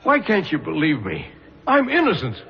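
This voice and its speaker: An actor playing a desperate middle-aged man, agitated and pleading his innocence in a radio drama, with a raspy, strained voice. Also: on the high side, huge pitch swings, moderate pace, full character voice. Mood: desperate, indignant. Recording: muffled old radio drama recording.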